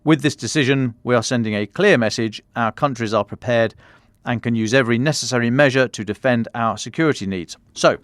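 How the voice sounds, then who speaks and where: A man with a British accent giving a measured, explanatory news analysis briefing as a podcast commentator, with the odd colloquial turn. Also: mid-range voice, no room sound.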